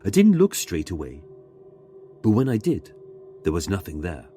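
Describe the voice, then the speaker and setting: Low, mellow male storyteller, dramatically paced. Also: huge pitch swings, husky, faint music behind. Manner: dramatic, measured storytelling with pauses for suspense. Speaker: guest narrator reading a submitted ghost story. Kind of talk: scripted narration of a true ghost story.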